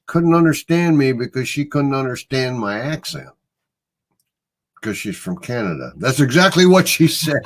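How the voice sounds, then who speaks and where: A middle-aged man with a Midwestern accent, hosting a casual live chat and telling stories in a rambling, easygoing way. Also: medium pitch. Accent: Midwestern American. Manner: easygoing, rambling storyteller, chuckles often. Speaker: host.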